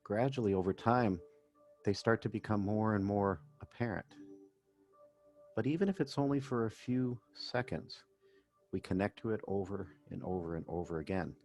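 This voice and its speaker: A man working as a meditation coach, narrating a guided session in gentle, measured phrases with pauses. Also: fairly low pitch, expressive. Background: background music.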